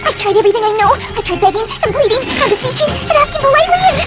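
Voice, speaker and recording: A woman voicing a timid, gentle young female pony in a cartoon, with a meek, pleading way of talking. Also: very high-pitched, animated, rapid-fire. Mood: helpless. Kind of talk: animated cartoon acting. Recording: loud music behind the voice.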